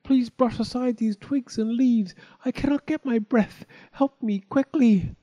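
A man's voice: very high voice, measured pace, dark tone, dry recording, voice pushed up. A man voicing a trapped wind in a storybook tale, urgently pleading to be freed. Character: trapped wind, breathless begging spirit. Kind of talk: storybook character voice.

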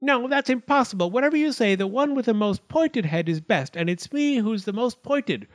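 A man's voice: extremely high pitch, animated, normal speed, pitched above the performer's own voice. A man voicing boastful little talking acorns in a storybook, argumentative, stubborn and insistent. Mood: indignant, boastful.

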